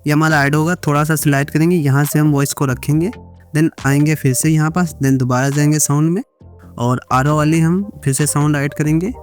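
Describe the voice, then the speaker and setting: An Indian man narrating a step-by-step editing tutorial, mixing English words into repetitive instructions. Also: fairly high pitch. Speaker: video editing tutorial creator.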